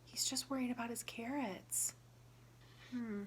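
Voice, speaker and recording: A woman reading a picture book aloud to children in a teacherly way, pausing to ask questions and explain words simply. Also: fairly high pitch, fairly even intonation, a little hiss or hum.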